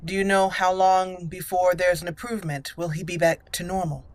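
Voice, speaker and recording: A woman hosting a roleplay game playthrough, deliberately narrating her actions aloud. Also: lowish voice, little pitch movement. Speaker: gaming channel host. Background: faint game music.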